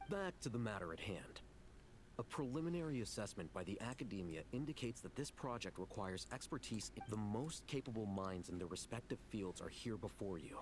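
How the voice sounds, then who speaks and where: A man's voice: fairly low pitch, lively intonation, fairly quick, over music, close to an everyday voice. An actor playing a composed, blunt male scholar in a video game, formal and matter-of-fact.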